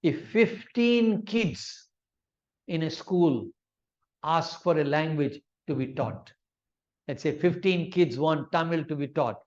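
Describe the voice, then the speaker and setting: A man's voice: on the high side, animated, slow, a little mellow, dull audio. A man whose first language is Urdu, lecturing on law in an explanatory way with examples and frequent fillers. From Malaysia. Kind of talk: academic lecture.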